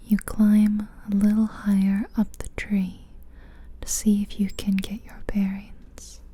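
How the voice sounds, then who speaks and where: A woman whispering gently in a breathy, soothing ASMR style, guiding a relaxing visualization with long unhurried pauses. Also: mid-range voice, monotone. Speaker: ASMR guide narrating a guided visualization. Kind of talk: soft-spoken ASMR guided visualization. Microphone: close-up ear-to-ear ASMR microphone.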